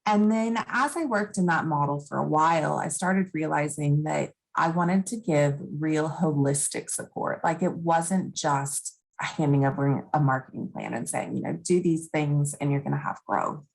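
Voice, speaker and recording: Woman from Texas, Texan-Australian accent, thirties, low voice, gravelly, friendly, laughs easily. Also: normal speed, few pauses, rough recording. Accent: Texan mixed with Australian. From Texas, United States. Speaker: guest business coach. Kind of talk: casual podcast interview.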